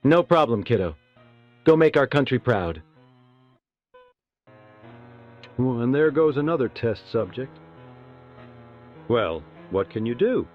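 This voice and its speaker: An actor playing a genial doctor who pitches a sinister volunteer program in a parody promotional clip, with smooth infomercial patter. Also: male voice, sing-song, measured pace, pauses often, muffled recording, faint music behind. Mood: breezy, callous.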